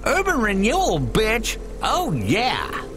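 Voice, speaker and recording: A woman voicing a feisty, excitable android gang member in a video game, goading in rough street slang. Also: fairly high pitch, slow, noisy background, full character voice. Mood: excited. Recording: music behind the voice.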